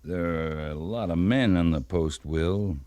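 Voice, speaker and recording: An American man playing a laconic, seasoned cavalry captain in a 1950s radio western, talking deliberately with pauses between phrases. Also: low-pitched, dark tone, no echo. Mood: cautioning. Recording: old 1950s radio recording.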